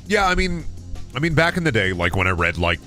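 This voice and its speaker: A man's voice: medium pitch, big pitch swings, brisk, bright, forceful tone, faint music behind. A man in his thirties streaming a game from home on a headset, chatting in a rambling, self-deprecating way and swearing at the game, his voice sick and close to cracking. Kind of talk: casual gameplay stream chat. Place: home room at a desk.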